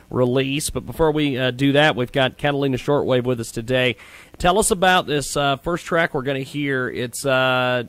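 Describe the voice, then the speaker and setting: A man hosting a live radio show, introducing guests and segments with frequent pauses. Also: mid-range voice, no echo.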